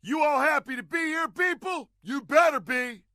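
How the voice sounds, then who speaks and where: High, forceful, cocky squad leader. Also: male voice, normal speed, no room sound, clean studio sound. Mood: challenging. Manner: swaggering, challenging. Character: tough, cocky squad leader rallying troopers. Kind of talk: video game military radio barks.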